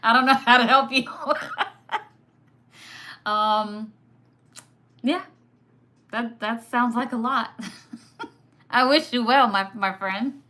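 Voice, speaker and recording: A woman from Sudan whose first language was Arabic, hosting a casual live stream, chatty and quick to laugh while reading comments. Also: fairly high pitch, steady tone, pushed and bright. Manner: chatty, laughs often, teasing. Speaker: livestream host.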